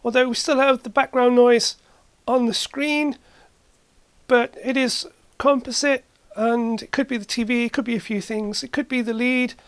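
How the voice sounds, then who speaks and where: Casual tech hobbyist host, mid pitch, halting delivery, matter-of-fact, thinking aloud through a demo. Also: steady tone, smooth, no room sound. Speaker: host, retro computer hobbyist. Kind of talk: casual hands-on demonstration. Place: indoor cluttered workshop.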